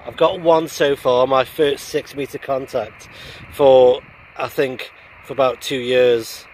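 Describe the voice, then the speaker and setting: A man's voice: mid-range voice, steady tone, takes it slowly, a little mellow, slight background noise, low-quality recording. A middle-aged man chatting casually and a little hesitantly outdoors, as an amateur radio operator making a vlog. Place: outdoors on open heathland by a road.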